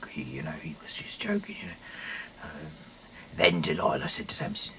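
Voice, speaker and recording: Very deep-voiced man stumbling through scripture. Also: huge pitch swings, moderate pace, gravelly, fairly bright tone, whispered. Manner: stumbling, rambling, often correcting himself. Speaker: amateur reader of a Bible audio series. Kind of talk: halting read-aloud of scripture with off-the-cuff asides.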